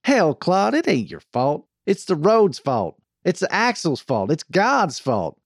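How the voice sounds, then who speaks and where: Western storybook wagon boss, male reader: brash, profane woman, pitched up, wildly animated, bossy drawl. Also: slow pace, dry recording, clean studio sound, heavily acted. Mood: gruff encouragement. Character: brash, foul-mouthed cowgirl wagon boss, hot-tempered but soft-hearted.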